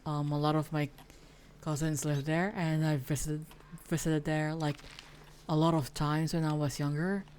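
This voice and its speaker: A woman's voice: low voice, darkish tone, slight background noise. A Filipino woman whose first language is Tagalog, chatting casually while streaming a game, hesitant and full of fillers and restarts. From Philippines.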